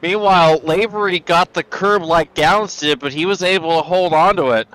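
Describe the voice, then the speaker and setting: Smooth-voiced male color commentator. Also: high-pitched, moderate pace, a bit bright, no room sound, rough recording. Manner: analytical, explains car handling. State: hoarse. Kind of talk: sim racing commentary.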